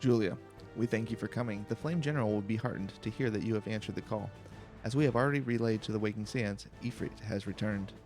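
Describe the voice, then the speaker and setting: A man reading video game dialogue aloud in a calm, slow, deliberate way for a let's play. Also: fairly low pitch. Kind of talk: calm, slow-paced read-aloud narration. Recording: faint background music. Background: faint game music.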